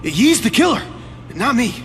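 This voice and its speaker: A man's voice: very high voice, big pitch swings, normal speed, a bit bright, big-room sound, faint music behind, heavily acted. An actor playing a frightened man who stammers and pleads his innocence in video game cutscenes. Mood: pleading.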